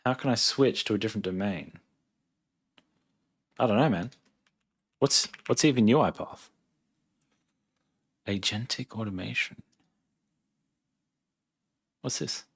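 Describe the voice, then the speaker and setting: Low-voiced Australian man, laid-back livestreamer. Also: slow, long pauses, husky. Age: 30s. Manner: laid-back, thinking aloud. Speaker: live streamer, software engineer. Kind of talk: casual livestream chat, reading and answering viewer questions.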